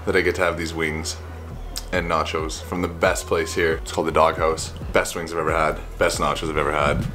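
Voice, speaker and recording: A man's voice: rumbling low, flat delivery, brisk, pushed and bright. A man in his twenties with a Canadian accent, chatting casually to a handheld camera indoors as a vlogger. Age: 20s. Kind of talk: casual vlog talk to camera. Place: small room at home, indoors. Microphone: camera held at arm's length. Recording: music behind the voice.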